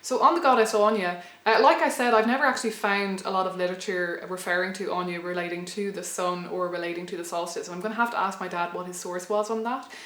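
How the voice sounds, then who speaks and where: A woman's voice: on the low side, steady tone, quick pace, hardly any pauses, fairly bright tone. A young Irish woman telling a personal story to camera in a reflective, rambling way, often restarting her sentences. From Ireland. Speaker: pagan blogger and vlogger. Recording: roomy echo.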